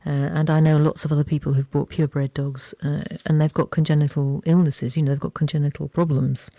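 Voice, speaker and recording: Conversational radio interviewer, soft-edged tone with noticeable fry, close dry sound, loose rambling phrasing. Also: mid-range voice, little pitch movement, brisk, no echo. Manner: informal, rambling questions with many restarts. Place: radio studio.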